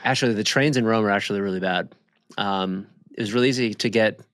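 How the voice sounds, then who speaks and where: A man's voice: on the low side, fast talker, a bit bright, no echo, clean studio sound. A man co-hosting a podcast, chatting casually in a slangy, emphatic, bantering way. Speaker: podcast co-host. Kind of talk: casual chat.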